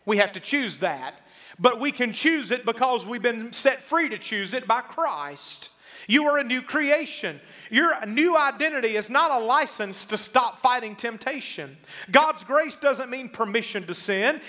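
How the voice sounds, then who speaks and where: A middle-aged man preaching a sermon as a pastor, emphatic, slowing on key phrases to drive them home. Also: extremely high pitch, bright, forceful tone. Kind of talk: sermon with congregational call-and-response. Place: church sanctuary stage, indoors.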